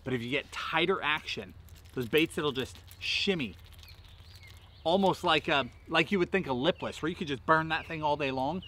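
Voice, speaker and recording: A man's voice: fairly high pitch, normal speed, bright, forceful tone, a little hiss or hum. A man in his thirties hosting a fishing tutorial outdoors by a lake, talking emphatically with rhythmic repeated bursts. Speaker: fishing channel host. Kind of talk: energetic outdoor tackle tutorial. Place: outdoors at a lakeside park, seated. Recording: faint outdoor ambience behind voice.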